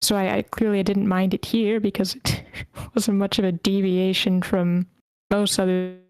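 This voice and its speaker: A woman with a mid-pitched voice hosts an online script read. She gives casual, self-deprecating feedback in plain, unpolished language with some swearing. The sound is dry, with very little room in it. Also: little pitch movement, normal speed, darkish tone, clean tone, dry recording. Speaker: host of an online screenplay table read. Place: online group meeting.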